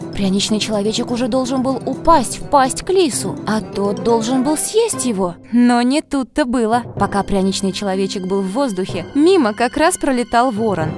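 A woman giving scripted narration of a children's fairy tale. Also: fairly high pitch.

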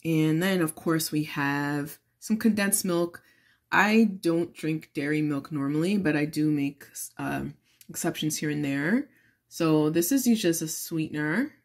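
A woman's voice: deep voice, measured pace. A woman hosting a casual home cooking demonstration, explaining things in an encouraging way. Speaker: host of a home cooking show.

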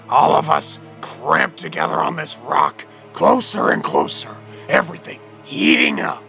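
A man voicing a scheming, scarred male villain with a gravelly, menacing way of talking in a fan radio drama. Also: a little high, bright, forceful tone, heavily acted. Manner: menacing, drawn-out taunting. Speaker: voice actor.

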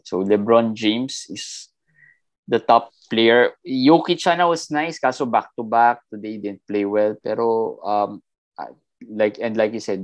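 A man's voice: mid-range voice, no room sound. A young Filipino man co-hosting a fantasy basketball show over a video call, talking casually and mixing Tagalog with English. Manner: relaxed, code-switching Tagalog and English. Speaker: fantasy basketball podcast co-host. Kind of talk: casual chat.

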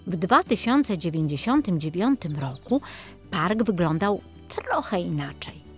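A woman's voice: lowish voice, sing-song, a little slow. A woman reading scripted narration for a children's story as its narrator. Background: music.

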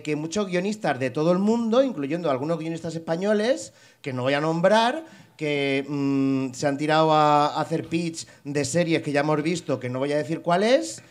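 A man hosting a live comedy podcast game on a small bar stage, rambling and stumbling over his words into a handheld mic. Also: a little high.

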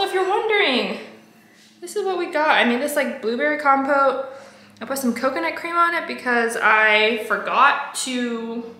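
A young woman vlogging to her camera at home in a bright, fairly high voice. She chats candidly and loosely, full of ums and likes. The room adds a noticeable echo. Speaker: food photographer and content creator. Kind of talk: casual vlog talk to camera.

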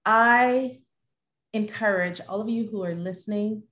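Middle-aged woman host, medium pitch. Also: measured pace, a bit bright, echoey room. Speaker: host, moderator. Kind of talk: conversational Q&A hosting. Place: bright living room at home, video call.

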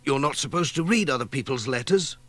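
A man's voice: medium pitch, expressive, fairly quick, fairly bright tone, dry recording, voice pushed up. A man voicing a sensible, motherly, mildly fussing village woman in a children's storybook.

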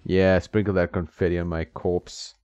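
A man's voice: low-pitched, flat delivery, normal speed, darkish tone, clear, resonant tone, no echo. A man giving casual, reactive commentary while he plays a retro fighting game, chuckling often and talking back to the game. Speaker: retro game video host. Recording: dull dry audio with game music behind.

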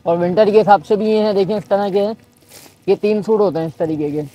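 Soft-spoken shopkeeper listing prices. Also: male voice, high voice, steady tone, a little fast, poor audio. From Pakistan. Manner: patient, listing items one by one. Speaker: shop owner. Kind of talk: showing goods and quoting prices. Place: busy market shop indoors.

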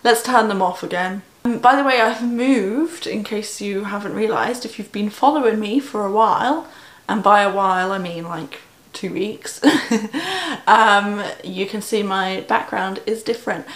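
A woman's voice: medium pitch, unhurried, fairly bright tone. A young English woman vlogging to her phone camera in a small bedroom, chatty and self-deprecating, with plenty of ums and likes. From England. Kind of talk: casual vlog chat to camera. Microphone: phone on tripod. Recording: roomy bedroom echo.

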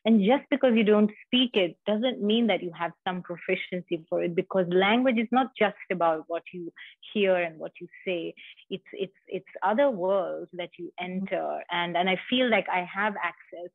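A woman's voice: fairly low pitch, normal speed, no room sound. A woman poet from India, speaking thoughtfully in an interview and often repeating words as she reflects. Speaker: poet and guest. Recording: dry, tinny phone-like line.

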